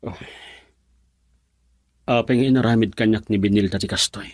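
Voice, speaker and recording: A man's voice: lowish voice, fairly even intonation, normal speed, a little mellow, no room sound, clean studio sound, close to an everyday voice. A man acting in an Ilocano radio drama as a heartbroken, defensive drinker who broods over his lost love. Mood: hurt, defensive.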